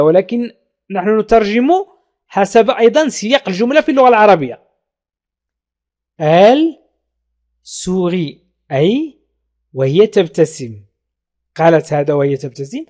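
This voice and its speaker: A man teaching beginner French in Arabic, repeating each phrase slowly and translating it. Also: high-pitched, darkish tone. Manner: slow, careful repetition of French phrases with Arabic glosses. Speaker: language teacher. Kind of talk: French lesson explained in Arabic.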